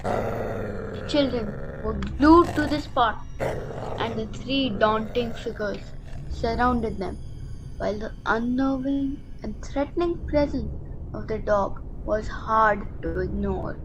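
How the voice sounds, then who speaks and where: A woman's voice: fairly high pitch, expressive, takes it slowly, background noise. A woman giving scripted narration as the storyteller of a radio play. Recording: music clearly behind.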